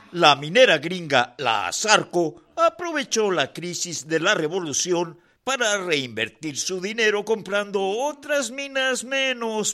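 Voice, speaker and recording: A man's voice: high voice, pushed and bright, exaggerated voice. An actor playing an emphatic Mexican man explaining things in a radio drama, with a Mexican accent.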